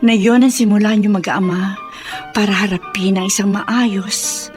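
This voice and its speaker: Woman playing proud, wounded rich Filipina madam for radio drama; middle-pitched, emphatic, music underneath. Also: fairly even intonation, unhurried, natural voice. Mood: remorseful, grateful. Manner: emphatic, melodramatic delivery. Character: wealthy matronly woman, wronged, fierce yet deeply remorseful.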